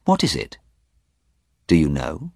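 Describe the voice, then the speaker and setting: A man's voice: very deep voice, huge pitch swings, slow, gravelly, no room sound, clean studio sound, exaggerated voice. A man reading aloud voices a determined woman pressing a young man with urgent questions. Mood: probing. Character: determined middle-aged woman, urgent and firm.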